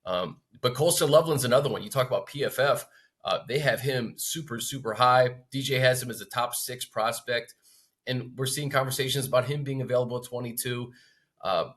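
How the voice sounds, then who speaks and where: A man with a medium-pitched voice hosts a sports discussion. He speaks casually and conversationally, like a co-host working through opinions and questions. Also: a bit bright.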